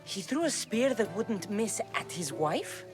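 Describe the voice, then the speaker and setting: A woman's voice: medium pitch, sing-song, measured pace. A woman playing a confident young mercenary in a video game, with a direct, dry, skeptical delivery. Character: blunt, confident young female Greek mercenary. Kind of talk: video game cutscene acting. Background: music.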